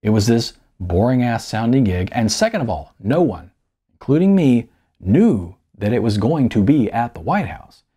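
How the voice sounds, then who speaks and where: Man playing worn-out male writer near fifty, self-mocking, halting, sardonic, mellow dark tone, animated pitch, audiobook. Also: husky. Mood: sheepish, defensive. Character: weary, self-deprecating almost fifty-year-old male magazine writer, confessing.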